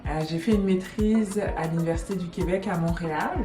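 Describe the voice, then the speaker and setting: A French woman, director of an immigration agency, explaining things in a relaxed casual interview with many fillers. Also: fairly low pitch, brisk. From France. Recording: echoey room with music behind.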